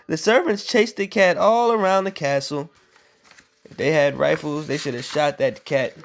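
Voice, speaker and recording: A woman reading a bedtime story aloud in an animated style, with casual, playful and mocking asides. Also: sits low, normal speed, vocal fry.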